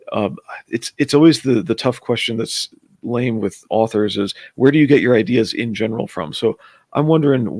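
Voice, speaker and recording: A man's voice: medium pitch, darkish tone, breathy edge, no room sound. A man hosting a livestream, chatting casually and rambling as he breaks off to greet viewers and put questions to his guest. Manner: rambling, breaks off to read out chat messages. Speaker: host of a comics chat livestream.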